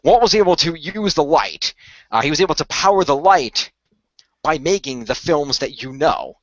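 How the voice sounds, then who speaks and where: A man improvising the part of an earnest company insider, explaining things in a measured, hesitant way as a game master. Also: fairly high pitch, animated, fairly bright tone, no room sound, highs cut off. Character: earnest, measured company insider revealing a secret magical order. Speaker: game master playing a character. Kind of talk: improvised in-character exposition for a role-play story.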